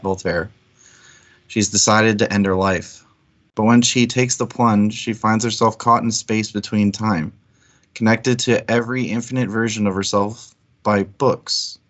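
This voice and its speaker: A man's voice: lowish voice, a bit bright. A man co-hosting a book discussion stream, talking informally and thinking aloud as he goes. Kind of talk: casual discussion with some read-out intro.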